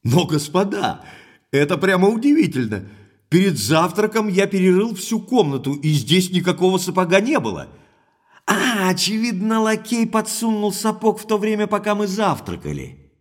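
An actor playing an impulsive, forthright young baronet in an audio drama, speaking emphatically and earnestly. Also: male voice, high-pitched, very animated, normal speed. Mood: excited, grateful.

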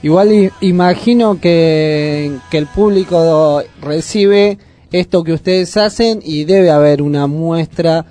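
A man's voice: on the high side, slow pace, dark tone, faint music behind. A man hosting a casual radio interview, often rephrasing his questions as he goes. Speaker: radio host. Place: radio studio.

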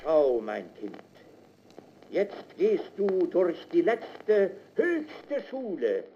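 An actor playing a paternal army lieutenant colonel in a radio drama, lecturing on duty in a lofty, deliberate way. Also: male voice, a little high, huge pitch swings, heavily acted. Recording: muffled narrow band, faint noise.

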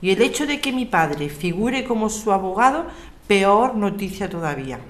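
Una actriz con acento castellano que interpreta a una abogada serena y comprometida, con habla pausada y didáctica, en una ficción sonora. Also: female voice, mid-range voice, big pitch swings, natural voice. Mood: grave, apologetic. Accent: Castilian Spanish. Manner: measured, explanatory, articulate. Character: Alicia, composed, earnest lawyer rallying her neighbours. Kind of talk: scripted audio drama.

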